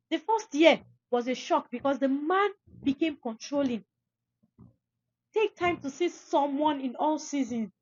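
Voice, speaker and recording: A woman's voice: high voice, no echo, lo-fi sound. A young woman podcast host, giving emphatic, preachy advice to listeners and repeating phrases for stress. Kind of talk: advice talk, addressing listeners.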